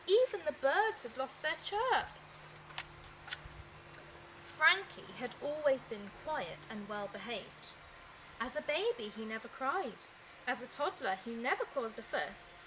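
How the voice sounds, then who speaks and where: A woman reading a children's storybook aloud in an expressive, deliberate way, with dramatic emphasis. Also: high-pitched, background noise. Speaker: teacher reading a picture book aloud.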